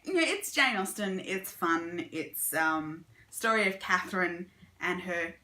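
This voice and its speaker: Bright-voiced woman chatting about books. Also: lowish voice, lively intonation, unhurried, reverberant, slight background noise. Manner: chatty, conversational. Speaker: book vlogger. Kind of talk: casual book review chat to camera.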